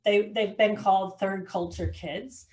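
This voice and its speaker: A woman's voice: medium pitch, fairly even intonation, measured pace, a little mellow. A middle-aged woman, a podcast guest talking earnestly and from the heart, often restarting her sentences. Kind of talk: casual interview conversation.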